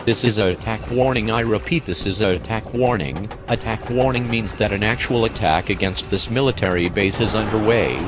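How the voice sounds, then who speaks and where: A man's voice: lowish voice, fairly quick, few pauses, noisy background, close to an everyday voice. A man playing a grave military base announcer, reading a scripted emergency warning in a formal, emphatic way. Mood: grave, urgent.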